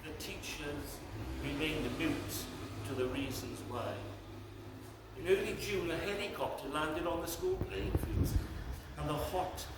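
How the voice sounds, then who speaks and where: A man reading his poetry outdoors in a measured, deliberate way. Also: fairly high pitch, lively intonation, heavy reverb, very noisy. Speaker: poet. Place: outdoors outside a cafe.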